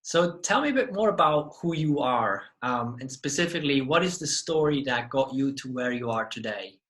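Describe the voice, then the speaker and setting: A man's voice: mid-range voice, animated, moderate pace, a bit bright. A man hosting an interview podcast, talking enthusiastically and often repeating his words. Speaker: podcast host.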